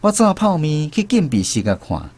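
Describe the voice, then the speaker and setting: Animated, high-voiced male storyteller. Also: normal speed. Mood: storytelling. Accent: Taiwanese. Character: male storyteller wryly recalling his army days. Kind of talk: radio drama narration. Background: music.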